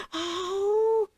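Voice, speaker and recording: A woman hosting a read-along stream, talking to viewers and reading a story as an excitable vtuber. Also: very high voice. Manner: giddy, giggly, gushing and repetitive while chatting.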